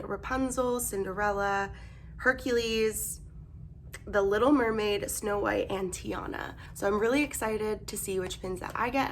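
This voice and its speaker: A young woman vlogger chatting casually through an unboxing, bubbly and gushing, her voice hoarse as she loses it. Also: mid-range voice, slight background noise.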